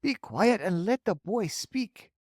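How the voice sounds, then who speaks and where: A storybook reader voicing a gentle, sensible ladybug who coaxes and soothes. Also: mid-range voice, slow, no echo, clean studio sound, pitched above the performer's own voice. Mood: coaxing. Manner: coaxing, calm.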